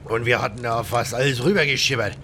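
A man voicing a rough, chatty pirate in a game audio drama, rambling in coarse colloquial speech with grudges. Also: fast talker, bright, forceful tone. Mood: gruff, aggrieved. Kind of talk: acted game dialogue, colloquial storytelling. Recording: faint rumbling background noise.

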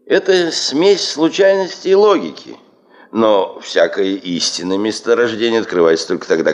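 An actor in a Soviet radio drama playing a stubborn, ambitious male chief of a geological administration, speaking in a deliberate, formal and authoritative way. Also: mid-range voice, highs cut off. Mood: oratorical.

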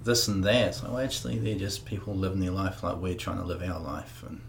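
Kiwi guy hosting a chat, low gravelly voice, super laid back. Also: flat delivery, fairly quick, slight background noise. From New Zealand. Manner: laid-back, casual slang. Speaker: podcast host. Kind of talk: casual interview chat.

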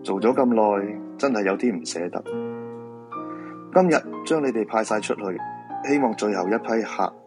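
A man acting a weary, grumpy feng shui master in a Cantonese radio drama, gruff and dismissive, with a Hong Kong accent. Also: fairly low pitch, moderate pace, music in the background, close to an everyday voice. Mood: wistful.

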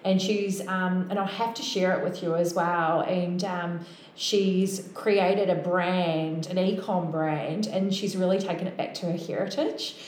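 A woman's voice: fairly low pitch, steady tone, measured pace, a little mellow, slight background noise. A woman with a New Zealand accent hosting a podcast, chatting casually and finishing her guest's sentences. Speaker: podcast host. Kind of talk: casual interview chat. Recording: echoey room.

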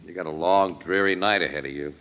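An actor playing an easygoing, slangy American crime photographer with a wry, breezy manner in an old radio drama. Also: male voice, deep voice, fast talker, fairly bright tone. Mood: wry, casual.

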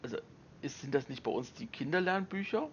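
A man's voice: medium pitch, a little fast, highs cut off, a little hiss or hum. A man from eastern Germany chatting casually and jokingly in a group talk. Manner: animated, joking, playful put-on voices. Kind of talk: casual chat.

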